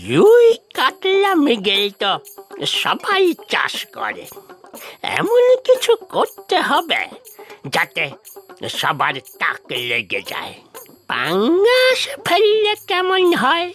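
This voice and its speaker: Cartoon casting: woman playing a crotchety, bossy old man with a sharp, high voice and slow delivery. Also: wildly swooping pitch, exaggerated voice. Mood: scheming, grumbling. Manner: bossy, scolding, exclamatory. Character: stubborn, crotchety old village father, excitable and scheming. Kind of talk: animated cartoon dialogue. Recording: music behind the voice.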